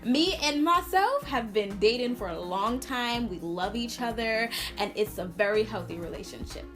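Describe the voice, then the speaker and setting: A woman's voice: on the high side, lively intonation, moderate pace, fairly bright tone. A woman from Brooklyn, chatting casually and expressively to camera as a video blogger, stressing points by repeating words. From Brooklyn, New York, United States. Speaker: video blogger answering viewer questions. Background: music.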